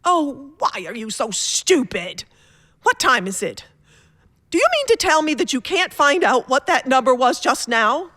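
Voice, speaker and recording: Radio thriller demanding, agitated woman caller: high, wildly swooping delivery, close dry sound. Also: very animated, measured pace, a bit bright, no echo, full character voice. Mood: indignant, demanding. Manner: melodramatic, insistent, agitated. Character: imperious, panicky, demanding woman telephone caller.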